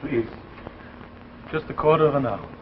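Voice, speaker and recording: An actor playing an anxious, earnest husband in a 1950s radio drama, halting and desperate. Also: male voice, mid-range voice, a little hiss or hum, close to an everyday voice. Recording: dull old radio recording, faint music.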